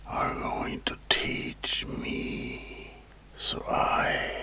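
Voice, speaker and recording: A man playing a sinister, obsessive mad asylum doctor in a whispered ASMR horror roleplay, slow and deliberate with menacing pauses. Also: bright, forceful tone. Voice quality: breathy whisper with gravelly creak. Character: sinister mad asylum doctor, over eighty years studying, obsessed with immortality.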